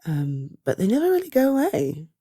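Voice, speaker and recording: A British woman performing an ASMR roleplay as a caring partner-like confidante, speaking softly and hesitantly with reassurance. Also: a little high, wildly swooping pitch, slightly rough, no room sound, clean studio sound, heavily acted. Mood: gentle reassurance. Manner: hesitant, soft reassuring, halting pauses. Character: caring, reassuring woman comforting a loved one. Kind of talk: ASMR roleplay, one-sided intimate conversation.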